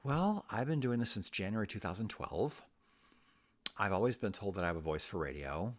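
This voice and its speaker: A man's voice: lowish voice, expressive, fairly quick, no room sound, clean studio sound. A man from Iowa hosting a radio talk show, with a practiced broadcast delivery for plugs, sign-offs and questions. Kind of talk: conversational radio interview.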